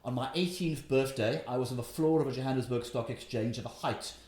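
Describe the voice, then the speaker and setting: A man in his fifties with a Welsh accent, telling his story in an interview with animated, emphatic delivery. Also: medium pitch, a little fast, echoey room. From Wales. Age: 50s. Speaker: investment guest. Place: room in his house.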